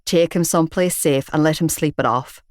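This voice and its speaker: A woman voicing a big, older bearded male gangster with a Belfast accent, gruff but reassuring, in a storybook reading. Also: deep voice, brisk, dry recording, studio quality, voice pushed down, lightly acted.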